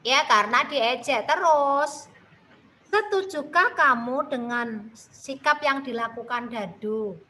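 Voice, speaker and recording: Woman teacher, javanese accent, high bright voice, slow patient delivery, video call, faint background noise. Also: poor audio. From Central Java, Indonesia. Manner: slow, patient, addresses children with tag questions. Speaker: primary school teacher. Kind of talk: online class lesson.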